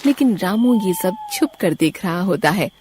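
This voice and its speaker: A woman's voice: fairly low pitch, animated, moderate pace, background noise. A woman narrator reading a scripted moral story in a storytelling style.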